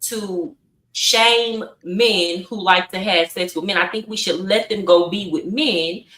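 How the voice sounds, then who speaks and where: A woman's voice: medium pitch, slow pace, a bit bright. An outspoken woman debating in a casual, conversational way as a panelist on a podcast. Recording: roomy echo, distant from the mic.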